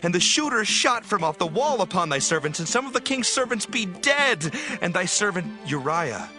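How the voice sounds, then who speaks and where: An actor playing a distressed ancient battlefield messenger, urgent and deferential, in a dramatized Bible audiobook. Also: male voice, high voice, very animated, normal speed, music in the background. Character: distressed battlefield messenger reporting to his king.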